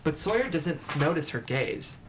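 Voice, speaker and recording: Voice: medium pitch, lively intonation, moderate pace, a little hiss or hum. A narrator reading scene headings and stage directions at a screenplay table read, in scripted narration.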